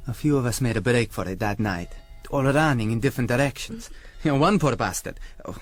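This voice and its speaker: Guy playing young escaped soldier, raspy, pitch all over, earnest and friendly, radio play. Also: a little fast, soft background music, natural voice. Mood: earnest recounting. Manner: animated storytelling. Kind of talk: radio drama acting.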